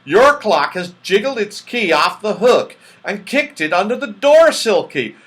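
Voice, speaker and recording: A man plays an excitable magical fellow from a children's storybook. He is eager and emphatic, his raised voice swinging widely in pitch. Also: unhurried, full character voice. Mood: astonished. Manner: emphatic, exclamatory.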